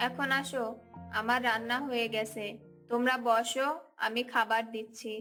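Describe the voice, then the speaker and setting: A woman plays a village wife and mother from a cartoon folk tale. Her voice sits fairly high, and she runs the household in a practical, gently bossy manner. Soft music plays underneath. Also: level tone, normal speed. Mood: caring, nagging.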